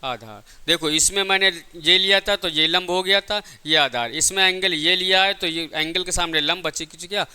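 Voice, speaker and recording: A man's voice: high-pitched, normal speed, hardly any pauses, sharp tone, smooth. A man teaching a lesson step by step, didactic, often asking questions and answering them himself. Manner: didactic, repeatedly asks and answers own questions. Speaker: physics teacher. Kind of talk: classroom lesson, step-by-step explanation.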